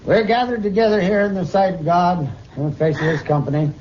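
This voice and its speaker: Man playing a high-voiced, doddering old justice. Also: fairly even intonation, darkish tone, natural voice. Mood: solemn. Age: older. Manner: stammering, halting, throat-clearing. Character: doddering, half-deaf old justice of the peace. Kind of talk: old-time radio drama acting. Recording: muffled, noisy old radio recording.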